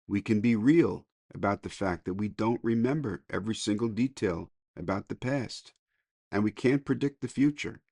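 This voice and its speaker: A man of middle age or older with a low voice reads a daily meditation for a podcast. His delivery is measured, and the recording is dry. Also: darkish tone, no room sound. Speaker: podcast reader.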